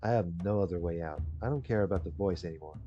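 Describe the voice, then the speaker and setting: A man with a low, dark voice reads a visual novel aloud in a theatrical storytelling manner. The recording is dull and muffled, with music playing behind him. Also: fast talker. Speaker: gaming video creator. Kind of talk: reading visual novel text aloud, dramatic narration. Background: game music.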